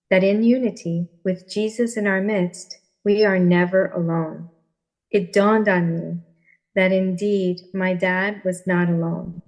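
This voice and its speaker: A woman's voice: on the low side, poor audio. A woman speaking as a podcast guest, sharing a personal experience. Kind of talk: personal testimony, storytelling.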